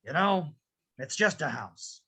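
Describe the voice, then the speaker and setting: Man voicing a grumbling old cartoon man, soft-hearted, raspy, bright forceful edge. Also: on the high side, lively intonation, measured pace, heavily acted. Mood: gently resigned. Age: older. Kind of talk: casual online table read of an animated film script.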